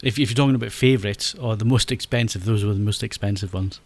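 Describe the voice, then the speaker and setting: A man's voice: on the low side, fast talker, darkish tone. A Scottish man hosting a podcast, chatty, laughing often and stumbling over his restarts. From Scotland. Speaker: podcast host. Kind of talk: casual podcast chat.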